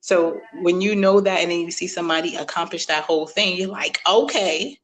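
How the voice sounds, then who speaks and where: A woman's voice: lowish voice, a little fast, poor audio. A woman hosting a podcast interview, chatty and laughing often, acting out quoted reactions in casual talk. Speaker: podcast host.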